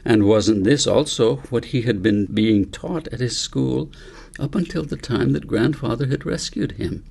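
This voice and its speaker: A man narrating a story audiobook in a measured, reflective way, as an author reading his own book aloud. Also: mid-range voice, vocal fry, a little mellow, slightly rough.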